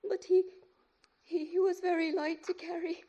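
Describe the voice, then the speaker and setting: A woman acting a gentle, grieving mother in a radio play, halting and tender as she puts on a brave face. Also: very high-pitched, little pitch movement, muffled recording. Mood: tearful, wistful.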